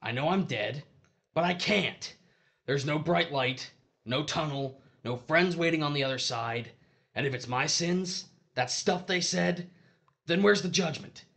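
Gravelly male ghost acted by a man, dead husband, desperate, argumentative, pleading, audio horror drama. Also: a little high, a little slow, fairly bright tone. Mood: urgent. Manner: insistent, argumentative.

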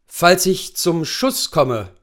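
A male narrator performs a jittery husband from a safari storybook. He is irritable and fretful, a novice hunter who keeps complaining. His voice runs high with restless, lively intonation. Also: slow. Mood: anxious.

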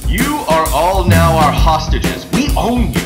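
A man's voice: on the high side, sing-song, unhurried. A man playing a bossy, self-important bank robber gang leader in a comedy audio drama, theatrical, sarcastic and foul-mouthed. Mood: grandly commanding. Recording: loud music behind the voice, roomy echo.

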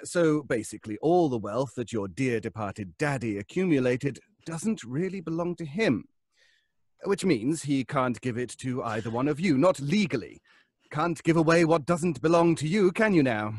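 An actor playing a coolly polite, patronising henchman in a horror audio drama, measured and courteous. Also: mid-range voice, expressive, dry recording, studio quality, close to an everyday voice. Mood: smug explaining. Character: coolly polite, condescending male henchman lawyer.

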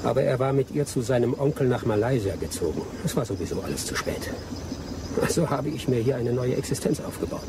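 A man's voice: mid-range voice, expressive, brisk, close to an everyday voice. Man acting bitter adventurer Anthony, noisy outdoor backdrop. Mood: bitter recounting. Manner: dramatic, emphatic delivery. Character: bitter, vengeful adventurer Anthony, a betrayed Englishman. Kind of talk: German radio play acting, dramatic storytelling.

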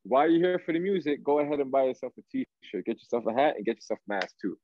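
A man's voice: mid-range voice, expressive, quick pace, a little hiss or hum. A man in his thirties from Toronto in a casual, slangy, agreeable chat over a video call. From Toronto, Canada. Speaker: guest, R&B singer. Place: indoor room, video call. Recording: dull video-call audio.

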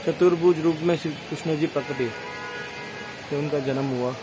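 A man's voice: a little high, unhurried, music in the background. A man vlogging a walking tour outdoors at a marble temple, explaining the wall paintings in a plain, casual way. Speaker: travel vlogger. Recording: noisy outdoor phone recording.

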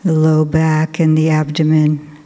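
A woman, a Buddhist nun, guiding a meditation in a slow, gentle, soft-spoken way with long pauses. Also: low-pitched, completely flat delivery. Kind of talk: guided meditation. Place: large cathedral. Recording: long cathedral reverb.

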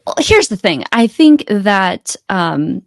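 A woman's voice: medium pitch, very animated, takes it slowly, creaky, no room sound. A woman speaking as a podcast guest and coach, earnest and reflective in a casual conversation, close to a desktop mic. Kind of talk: casual interview chat. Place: indoor home room on a video call.

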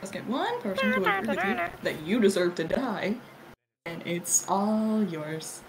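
Woman with very lively pitch, goofing around. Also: measured pace. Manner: irreverent, giggly. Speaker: amateur fandub voice performer. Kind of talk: playful improvised cartoon fandub. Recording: clearly noisy home recording.

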